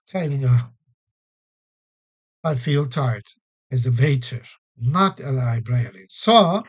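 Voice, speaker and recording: Slow, patient male English teacher. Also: on the high side. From Turkey. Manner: patient explanations with many everyday examples and frequent fillers. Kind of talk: recorded classroom-style English lesson.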